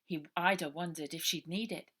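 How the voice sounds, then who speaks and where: A woman author reading her own children's novel aloud as expressive narration. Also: on the low side, normal speed.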